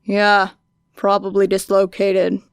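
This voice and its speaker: A gruff, tired male hero from an audiobook , as a woman plays him. He speaks in clipped, deadpan phrases. The voice is creaky yet smooth and resonant, recorded very dry. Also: medium pitch, takes it slowly, no echo. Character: gruff, weary, terse male hero, adult vigilante-catcher.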